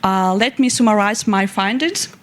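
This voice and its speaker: A woman researcher with a Russian accent, speaking halting, hesitant English as a native Russian speaker at a scientific conference. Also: medium pitch, a little hiss or hum. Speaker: young medical researcher presenting at a contest. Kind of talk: conference presentation and question answers.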